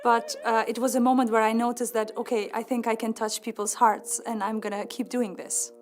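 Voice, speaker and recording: Earnest, fairly high-voiced woman. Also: level tone, brisk, soft background music. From Kosovo. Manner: open, earnest storytelling. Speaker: interviewed soprano.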